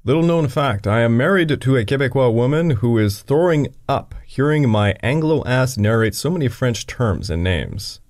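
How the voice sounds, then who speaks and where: Brief: male history podcast narrator, animated delivery, dry humor in asides. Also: mid-range voice, moderate pace, few pauses. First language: English. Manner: dry asides breaking into the reading.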